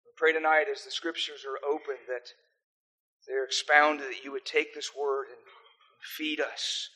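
Bright earnest older pastor, American accent. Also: male voice, on the high side, level tone, unhurried, low-quality recording. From South Africa. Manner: earnest, pleading in prayer. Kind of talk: sermon with opening prayer.

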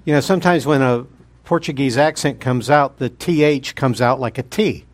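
A man's voice: mid-range voice, normal speed. A man, a guest preacher opening his sermon in a jokey, folksy manner.

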